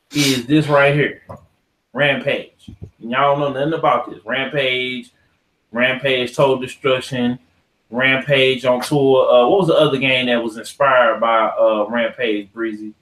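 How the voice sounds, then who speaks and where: A man's voice: medium pitch, fairly bright tone. An American man hosting a podcast, talking casually and opinionatedly in a slangy, profane, ranting manner. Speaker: podcast host. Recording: echoey untreated room.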